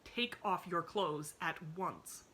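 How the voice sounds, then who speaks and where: A woman's voice: fairly low pitch, expressive, slow pace, sharp tone, slight background noise, heavily acted. A woman voicing a stern, cold spinster aunt in a storybook reading, speaking in icy, clipped commands.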